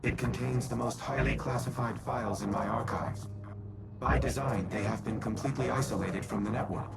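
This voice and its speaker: A man voicing a solemn, ancient military artificial intelligence in a video game, speaking in a formal, measured, grave manner. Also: medium pitch, fairly even intonation, gravelly, very noisy, lightly acted. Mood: guarded, commanding. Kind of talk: scripted video game dialogue. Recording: music playing behind the voice.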